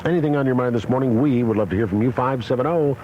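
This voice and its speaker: A man's voice: mid-range voice, rapid-fire. A man hosting morning radio with droll, mock-serious casual banter. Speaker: morning radio show host. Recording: dull AM radio aircheck, faint noise.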